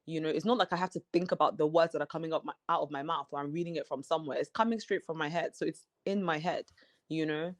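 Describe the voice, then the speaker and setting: A woman's voice: low-pitched, fairly even intonation, fast talker, no echo. A woman from Nigeria with an accent that mixes Nigerian and British, speaking as a guest in a casual interview. She talks in an articulate, earnest way and uses "you know" often. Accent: Nigerian and British mix.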